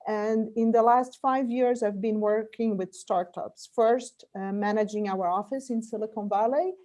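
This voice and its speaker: Woman, middle-aged, Portuguese speaker, soft dark tone, interview guest, explaining business. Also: a little high, steady tone, unhurried. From Brazil. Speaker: guest, corporate venture investor. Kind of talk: interview answers about career and business.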